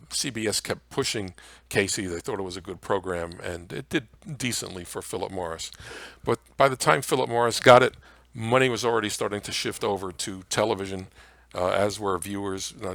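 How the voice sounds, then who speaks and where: Low-pitched American man giving an informal lecture. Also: gravelly, a bit bright, dry recording. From Mount Vernon area, New York, United States. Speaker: presenter, radio history lecturer. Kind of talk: informal slide lecture on old-time radio history.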